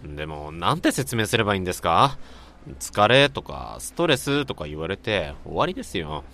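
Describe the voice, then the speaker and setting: A man plays a weary young office worker in a radio drama, troubled by recurring nightmares. His voice sits on the low side and moves expressively up and down. He speaks politely, like the junior of the office, with an uneasy edge. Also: big pitch swings, moderate pace, creaky, sharp tone, exaggerated voice. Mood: weary, dismissive. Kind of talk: radio drama acting with first-person narration.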